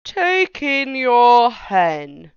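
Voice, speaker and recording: A woman voicing a calm, wise old man from a storybook, giving measured, drawn-out instructions. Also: a little high, clean tone, no room sound, lo-fi sound, heavily acted. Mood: calm, advising.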